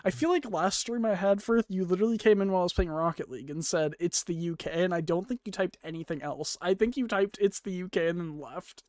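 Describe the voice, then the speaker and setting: Chatty young man, bright-pitched voice. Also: fast talker, hardly any pauses, dry recording, studio quality. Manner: chatty, laughing storyteller. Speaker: podcast co-host. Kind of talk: casual chat.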